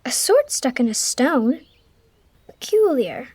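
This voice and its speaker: An actress playing an earnest, principled young princess who speaks in formal storybook phrases. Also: female voice, high-pitched, very animated, takes it slowly, darkish tone, breathy edge, exaggerated voice. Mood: curious.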